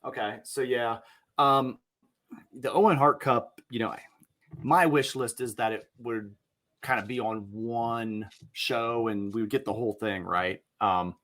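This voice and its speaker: A man co-hosting a casual wrestling podcast, measured in his speech, with dry sarcastic humor and frequent "um"s. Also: mid-range voice, fairly bright tone. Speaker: podcast co-host.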